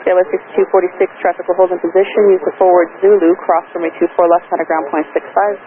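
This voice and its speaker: A man working as an air traffic controller in a tower, giving brisk, procedural clipped instructions over aviation radio. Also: high voice, flat delivery, phone-quality sound, a crowd in the background. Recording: noisy band-limited aviation radio.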